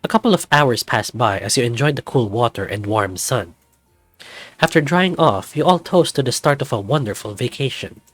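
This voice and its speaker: A man's voice: mid-range voice, expressive, normal speed, no room sound, soft background music. A man reading scripted second-person story narration as the narrator of a visual novel.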